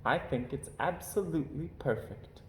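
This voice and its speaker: Casting: gracious storybook lady teacher, played by a man, dreamy and deliberate, raised lilting pitch, roomy echo. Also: a little hiss or hum, lightly acted. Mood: proud, gentle. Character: gracious woman music teacher, expecting a baby.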